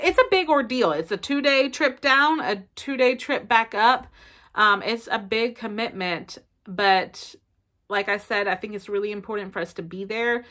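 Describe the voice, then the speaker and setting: Chatty Southern woman with a bright voice. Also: mid-range voice. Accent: Southern American. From South Carolina. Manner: chatty, expressive, lots of fillers. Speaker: family vlogger. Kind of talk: casual chat to camera. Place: bedroom at home, indoors. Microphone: camera in front at close range.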